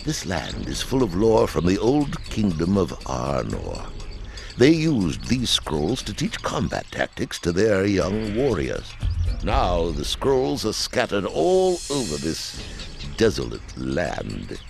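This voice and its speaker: A wise old mentor in a fantasy video game. The voice is very deep and gravelly, slow and solemn, yet it rises and falls dramatically. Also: male voice, huge pitch swings, darkish tone, faint music behind, heavily acted. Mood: grave, instructive.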